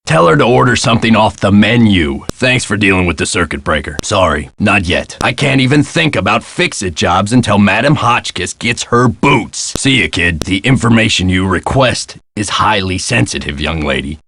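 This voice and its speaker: A man playing a gruff, world-weary middle-aged American caretaker in a video game, guarded and folksy in a clipped way. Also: fairly low pitch, expressive, moderate pace, hardly any pauses, a bit bright, slight background noise. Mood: secretive. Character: gruff world-weary male castle caretaker, guarded about his past.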